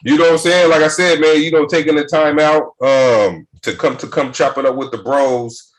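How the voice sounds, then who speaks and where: A man's voice: a little high, moderate pace, poor audio. A man co-hosting a podcast, chatting loosely and acting out other people's lines as he tells stories. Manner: animated storyteller, mimics others' voices. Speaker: podcast co-host. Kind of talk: casual banter, acting out quotes.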